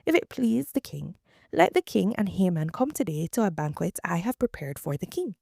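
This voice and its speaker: A woman's voice: on the low side, sing-song, normal speed, creaky, warm tone, no echo, clean studio sound, full character voice. A woman reading the lines of a resolute young queen in a scripture reading, in her own voice. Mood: earnest. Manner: earnest quoted speech within a reading.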